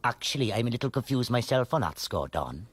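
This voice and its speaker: A man's voice: medium pitch, sing-song, a little fast, very noisy, lightly acted. An actor in a radio comedy playing an anxious, fussy, literal-minded male lecturer who speaks politely. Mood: worried, puzzled. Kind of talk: radio comedy drama acting.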